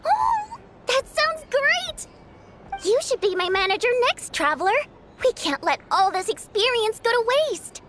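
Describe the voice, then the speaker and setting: Bubbly young female performer, very high, swooping intonation, teasing, pushy, theatrical, video game. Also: big pitch swings, measured pace, a bit bright, a little hiss or hum, heavily acted. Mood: playful, teasing. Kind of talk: video game cutscene acting.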